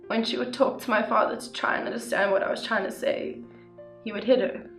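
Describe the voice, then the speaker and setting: A young woman reading a first-person story aloud in a steady, even way. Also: on the high side, fairly quick, gravelly. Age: young adult. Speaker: youth reader. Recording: echoey room with soft guitar music.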